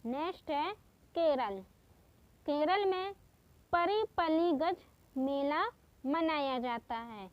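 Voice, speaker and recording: Slow, high-voiced woman teacher. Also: lively intonation, no echo, lo-fi sound. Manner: slow, patient, repeats each trick step by step. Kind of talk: exam-prep mnemonic lesson.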